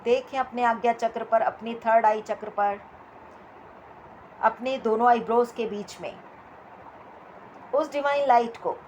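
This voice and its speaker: A woman leading a guided meditation as instructor, with soothing, repetitive phrasing and pauses between short instructions. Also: fairly high pitch, a little mellow, a little hiss or hum, rough recording.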